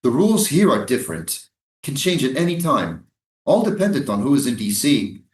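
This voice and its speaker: An actor in a live staged reading playing a menacing, insinuating American military commander at a prison camp. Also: male voice, medium pitch, very animated, moderate pace, reverberant, natural voice. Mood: taunting.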